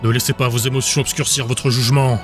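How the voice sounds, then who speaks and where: Man playing an even-toned, persuasive schemer. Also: medium pitch, fast, over music, close to an everyday voice. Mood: solemn insistence. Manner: insistent, solemn, reasoning. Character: cold, persuasive man claiming he alone can save the world. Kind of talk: dramatic audiobook dialogue.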